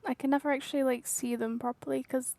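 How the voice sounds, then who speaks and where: A woman's voice: sits high, little pitch movement, fast talker, creaky, dark tone, no echo. A woman game streamer giving casual commentary as she plays. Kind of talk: casual gameplay commentary, reading along.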